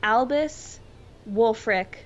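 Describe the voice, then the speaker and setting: A woman's voice: a little high, drawn-out words, muffled recording. A woman speaking as a podcast guest in informal, casual chat, often peppering her sentences with "like".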